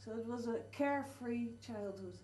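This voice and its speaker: A woman with a Dutch accent interviewing a guest, starting her questions haltingly and repeating words. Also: a little high, warm tone, a little hiss or hum. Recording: roomy, distant mic.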